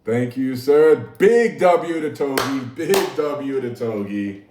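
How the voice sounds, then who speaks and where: A man's voice: mid-range voice, lively intonation, takes it slowly, noisy background. A man hosting a casual livestream, talking easily to his chat and laughing often. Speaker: livestream host. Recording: echoey room, mic at a distance.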